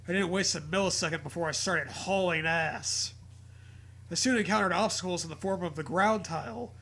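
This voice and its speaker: A man's voice: high-pitched, slight background noise. A man hosting a dramatic reading of a story, mixed with crude, joking commentary. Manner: profane, jokey, animated reactions.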